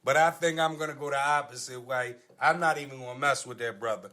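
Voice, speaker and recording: A man's voice: medium pitch, fast talker, pushed and bright. An American man hosting a casual podcast, talking in slang and prompting his co-hosts with questions. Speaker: podcast host steering the discussion.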